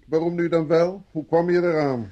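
An actor playing a stern, sceptical British police inspector who questions with sarcasm in a radio drama. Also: male voice, on the high side, steady tone, normal speed, lo-fi sound, close to an everyday voice. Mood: sceptical, probing. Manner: sarcastic, interrogating. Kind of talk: vintage Dutch radio drama acting.

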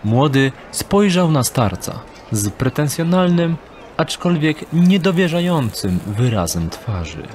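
A man's voice: a little high, very animated, a little slow, a little mellow. Male audio drama narrator, noisy ambience.

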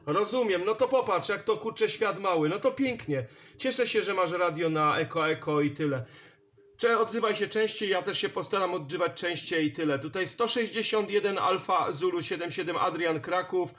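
A man's voice: sits high, normal speed, fairly bright tone. A man chatting casually and in a friendly way as a CB radio operator. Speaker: CB radio operator and channel host. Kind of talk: casual CB radio contact chat. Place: indoor home radio station.

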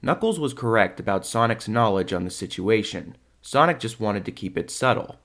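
A man's voice: on the low side, animated, moderate pace, fairly bright tone. A man giving scripted storytelling narration for an audio drama.

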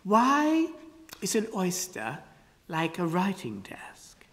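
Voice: medium pitch, sing-song, unhurried, pitched above the performer's own voice. A narrator voicing a clever, proud young girl who speaks earnestly and musingly, with a long hall reverb on the recording.

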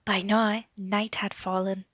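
A woman narrating a children's story with an expressive, deliberate, dramatic delivery. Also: mid-range voice, no echo, studio quality.

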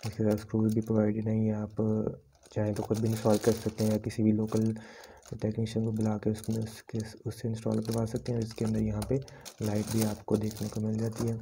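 A man with a Pakistani accent presenting a product unboxing as a shop's sales pitch, politely and in formulaic patter mixed with English words. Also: completely flat delivery, brisk, gravelly, noisy background. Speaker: online shop presenter.

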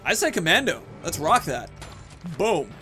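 Man, thirties, slightly high pitch, casual gameplay commentary, gaming host, faint music behind. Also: big pitch swings, unhurried, sharp tone.

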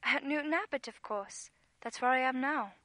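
A woman's voice: sits high, moderate pace, fairly bright tone, no echo. A woman acting an eerie, coaxing, insistent caller in a radio play.

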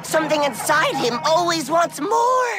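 A woman voicing an anxious, excitable little demon sidekick in a game cartoon, whiny and exclaiming. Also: very high voice, measured pace, heavily acted. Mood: urgent, alarmed. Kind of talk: animated game cutscene acting.

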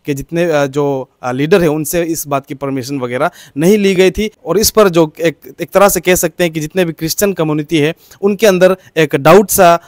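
Guy w reporting news, slightly high voice, halting, dry handheld mic. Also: dry recording. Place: outdoor balcony by a green field. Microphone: handheld reporter microphone.

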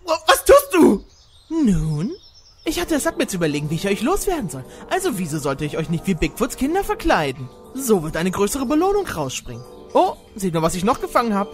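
A man's voice: sits high, huge pitch swings, a little fast, soft background music. A man dubbing a smug, scheming young rival hunter in a comedy, theatrical and taunting in his delivery. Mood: sly. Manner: theatrical, taunting, whiny when angry. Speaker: voice actor in a fan dub. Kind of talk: exaggerated comedy fan dub.

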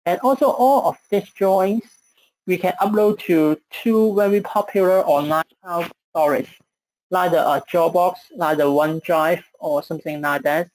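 A man with a Chinese accent presenting software in a webinar, hesitant with frequent fillers. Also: high-pitched, moderate pace, rough recording.

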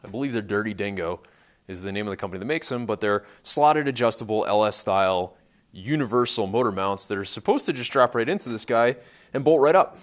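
A man's voice: lowish voice, expressive, brisk. A man in his thirties talking casually and unscripted as a vlog host in a garage workshop, explaining technical things in an offhand, dryly joking way. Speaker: host of a car restoration vlog. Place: large cluttered indoor garage workshop.

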